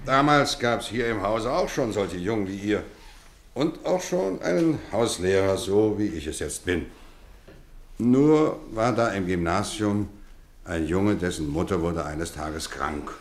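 A middle-aged man playing a kindly but strict boarding-school housemaster in a 1950s radio play, talking warmly with measured, gently teasing phrasing. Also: on the low side, expressive. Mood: storytelling. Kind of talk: 1950s radio drama acting. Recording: roomy studio echo.

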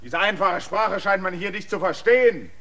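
A defiant, indignant land surveyor. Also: male voice, sits high, moderate pace. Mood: furious. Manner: indignant, bursts into angry shouting. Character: proud defiant male land surveyor demanding his rights. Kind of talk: radio play acting.

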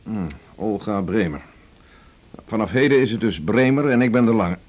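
Senior doctor and mentor, radio drama: low-pitched, brusque, teasing, middle-aged. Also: male voice, animated, normal speed, darkish tone. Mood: teasing, testing. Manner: brusque, wry, bossy. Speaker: actor. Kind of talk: 1980s Dutch radio drama acting.

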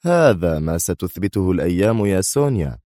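A sneering male spy as voiced by a man narrating in a thriller audiobook. He is tense and forceful, with a mocking, accusing edge. The recording is close and dry. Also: low voice, very animated, brisk, no room sound.

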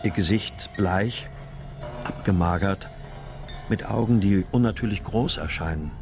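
A man's voice: low-pitched, unhurried. An actor playing a grim, determined father searching for his child, narrating and speaking tersely in a crime radio play. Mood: tense, restrained. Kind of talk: scripted first-person narration and dialogue in a crime audio drama. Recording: music behind the voice.

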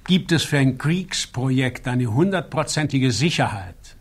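An actor playing a modest, thoughtful male panel member in a radio play, husky, reflective and polite. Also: mid-range voice, animated, measured pace. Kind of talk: German radio play acting.

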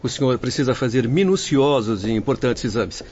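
A man's voice: medium pitch, expressive, moderate pace, darkish tone, close to an everyday voice. A man playing a helpful, courteous doctor in a radio drama, polite and formal. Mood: caring. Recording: muffled audio, faint background noise.

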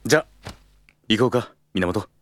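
Deep-voiced, seductive senior colleague. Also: male voice, animated, unhurried, noisy background. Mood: calm, intimate. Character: calm, seductive, confident senior male colleague and lover. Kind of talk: Japanese audio drama acting.

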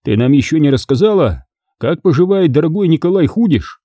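A cold-blooded gangster from a crime audiobook, acted out by a man. He taunts and domineers with sardonic menace, his mellow voice moving freely up and down. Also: a little high, expressive, fairly quick, no room sound, voice pushed up. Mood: cold, domineering. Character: menacing male thug, mocking, cold-blooded interrogator.

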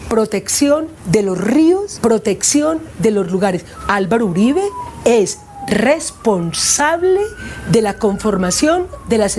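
A woman giving political testimony in an emphatic, analytical and deliberate way, as in a recorded interview clip. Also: fairly high pitch, darkish tone, a little hiss or hum.

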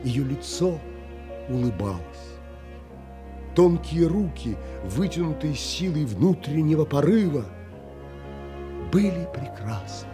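A man playing a thoughtful traveller who recounts his wonder in measured, polite audio drama narration. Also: fairly high pitch, very animated, music in the background. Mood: rapt, reflective. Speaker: narrator and lead actor in an audio play.

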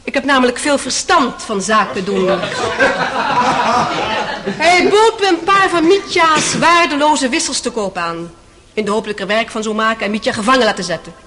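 Bright, high-voiced defiant young woman. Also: animated, moderate pace. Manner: blunt, spirited, accusing. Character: defiant, indignant young Russian mistress on the witness stand. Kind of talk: radio play courtroom acting.

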